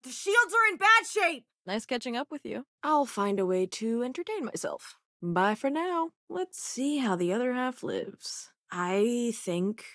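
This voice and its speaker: A woman's voice: a little high, big pitch swings, unhurried, hardly any pauses, a bit bright, dry recording, studio quality, full character voice. A woman playing a sassy, self-assured young scientist and smuggler companion in a space video game, with a wry, casual manner. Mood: combat alarm, then dry, casual. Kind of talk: acted video game dialogue lines.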